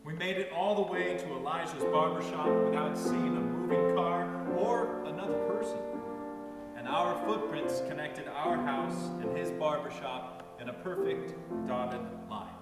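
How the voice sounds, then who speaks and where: A man's voice: fairly high pitch, measured pace. A man reading a story aloud as a dramatic narration. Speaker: reader in a church staff dramatic reading. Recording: very echoey hall, distant mic. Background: music.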